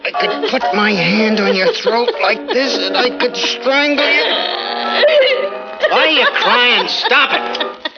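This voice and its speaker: An actor playing a bitter, jealous, hard-drinking snoop of a husband, sardonic and menacing, in theatrical 1940s radio melodrama style. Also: male voice, very high voice, slow pace, heavily acted. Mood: agitated, furious. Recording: muffled 1940s radio recording with music.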